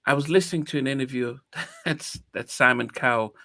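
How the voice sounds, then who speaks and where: A man's voice: mid-range voice, moderate pace, pushed and bright, no echo, studio quality. A man in his fifties, from Nigeria, hosting a podcast in a reflective, hesitant way with many restarts. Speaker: podcast host. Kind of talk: casual interview chat.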